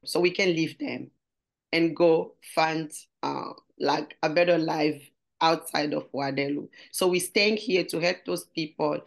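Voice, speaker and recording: A woman's voice: lowish voice, gravelly. Congolese-accented woman, earnest and searching. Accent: Congolese French. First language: French. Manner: earnest, searching for words in English. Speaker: guest. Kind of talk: interview conversation.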